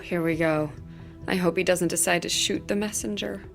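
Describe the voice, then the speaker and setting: A woman playing an efficient, worried theater stage manager in an audio drama, speaking briskly. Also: on the low side, fairly even intonation, creaky, faint music behind.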